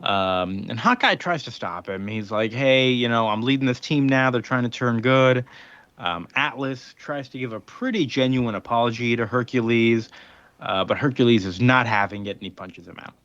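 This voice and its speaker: Male podcast host for informal recap chat, mid-pitched, recorded dry and close, loosely paraphrasing dialogue. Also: normal speed, fairly bright tone, dry recording, highs cut off. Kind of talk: casual comic book recap chat.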